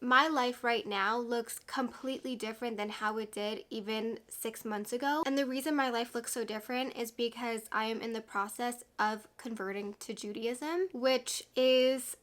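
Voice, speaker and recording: Woman, late 20s, vlogger, candid casual talk, bit stuffy-nosed, bright tone. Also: a little high, steady tone, normal speed, few pauses. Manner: chatty and candid, many "like" fillers. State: slightly congested from a cold. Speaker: YouTube vlogger. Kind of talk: casual sit-down chat to camera.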